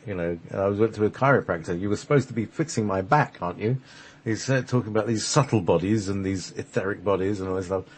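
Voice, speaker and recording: A man talks casually, as a radio host swapping stories. His voice is on the low side and rough-edged with huskiness. He rambles, restarting and hesitating, with a joking tone. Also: fast talker. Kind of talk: casual conversational storytelling.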